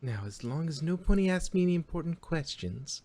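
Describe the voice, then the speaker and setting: A man's voice: fairly high pitch, lively intonation, fairly quick, warm tone. A man voicing a flustered young pony princess, regal but anxious and sardonic, in an amateur fan fiction reading. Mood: weary, resigned.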